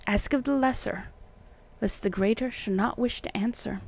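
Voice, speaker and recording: A woman voicing an uneasy, secretive old colonial occultist man, reading his letters in solemn, halting archaic phrasing. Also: a little high, vocal fry, a little mellow. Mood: grave warning.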